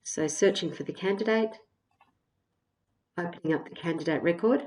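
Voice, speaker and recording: A woman with a lowish voice narrates a software walkthrough. She explains each step in a measured, semi-scripted way, often pausing on small hesitations as she goes. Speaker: software tutorial presenter.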